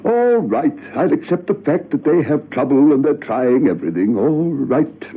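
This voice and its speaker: Fussy, husky department boss, phone-like sound. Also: male voice, a little high, sing-song, moderate pace, heavily acted. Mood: grudging, officious. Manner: hesitant starts, officious, clipped. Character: fussy, suspicious male government department boss. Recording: muffled phone-like old radio recording.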